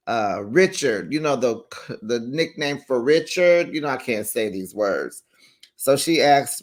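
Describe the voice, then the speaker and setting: A man hosting a casual recap show, chatty and gossipy, acting out quotes in a raised voice and laughing along. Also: mid-range voice.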